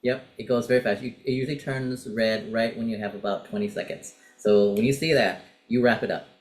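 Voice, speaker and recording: A man's voice: on the low side, fairly even intonation, a little fast, a little mellow. A man coaching pageant contestants through a practice interview, directive and encouraging, explaining step by step. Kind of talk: coaching session.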